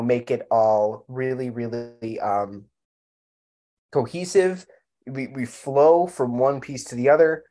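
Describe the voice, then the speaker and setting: A man with a mid-pitched voice co-hosts a casual film podcast. He speaks slowly and a little haltingly. He often repeats words to add emphasis. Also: darkish tone. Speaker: podcast co-host.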